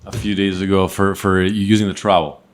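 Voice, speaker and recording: A man hosting a casual podcast, keeping the talk moving with questions and steady mm-hmms. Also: sits low, moderate pace. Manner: steady interviewer, lots of mm-hmms. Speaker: podcast host.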